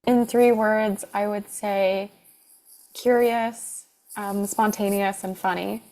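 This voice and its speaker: Young woman, medium pitch, casual. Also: fairly even intonation, takes it slowly, dark tone. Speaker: dating show contestant. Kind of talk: casual introduction and question.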